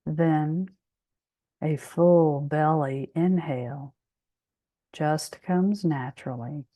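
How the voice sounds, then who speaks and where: Female meditation guide, soothing and very unhurried, mellow tone, close dry sound. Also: low-pitched, no echo. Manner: soothing, measured, with long pauses.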